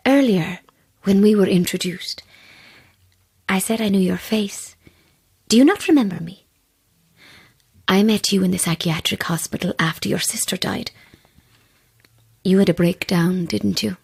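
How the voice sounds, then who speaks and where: A woman playing an eerie, obsessive believer in spirits in an audio drama, with a breathy voice and an insinuating, insistent manner. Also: mid-range voice, unhurried, long pauses. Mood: quiet menace. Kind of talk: dramatic audio fiction acting.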